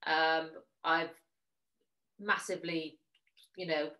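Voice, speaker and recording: A woman's voice: low voice, flat delivery, slow pace, bright, forceful tone, rough recording. A woman in her forties with a Welsh accent, a magazine editor speaking openly in a video-call interview over a headset. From Wales. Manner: open, candid, talks in long runs. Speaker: magazine editor-in-chief, guest. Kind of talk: casual interview chat.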